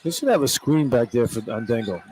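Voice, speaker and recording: A man's voice: mid-range voice, expressive, fairly quick, a little mellow, slight background noise, low-quality recording. A man giving live color commentary on basketball, plain-spoken and opinionated in a conversational way. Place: indoor basketball arena.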